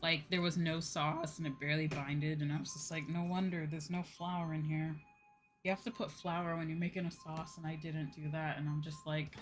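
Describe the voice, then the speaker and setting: A woman chatting casually as a livestream host while gaming, expressive and free with swearing. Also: deep voice, normal speed. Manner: expressive, swears freely, mock-grumbling asides. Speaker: livestream host, virtual streamer. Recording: game music behind the voice. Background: video game music.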